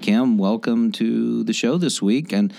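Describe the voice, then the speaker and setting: A man co-hosting a radio talk show, his voice a little raspy, chatting in an easygoing, jokey way. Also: mid-range voice, unhurried, loud music behind the voice. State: slightly raspy voice. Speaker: radio co-host.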